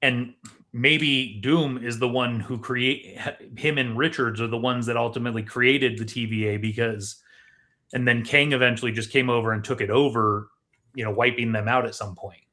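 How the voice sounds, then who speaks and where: A man's voice: medium pitch, fairly even intonation, moderate pace, sharp tone. Man explaining film theories in casual conversation. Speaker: co-host of a movie discussion show.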